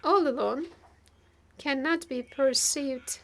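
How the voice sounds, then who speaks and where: A woman working as a live interpreter of a formal keynote, speaking in halting, piecemeal phrases with stretched words. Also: high-pitched. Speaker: simultaneous interpreter.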